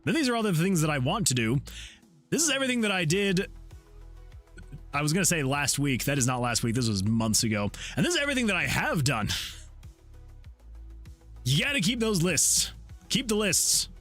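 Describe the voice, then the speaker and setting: A man hosting a game development livestream, chatting casually with viewers and rambling excitably as he thinks out loud. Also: fairly high pitch, normal speed, pauses often. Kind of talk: casual chat with stream viewers while working. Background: background music.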